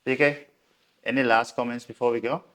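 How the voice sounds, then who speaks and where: A man's voice: mid-range voice, fairly even intonation, fairly quick, a bit bright. A male podcast host interviewing a guest, giving frequent short agreements and wrapping up the episode.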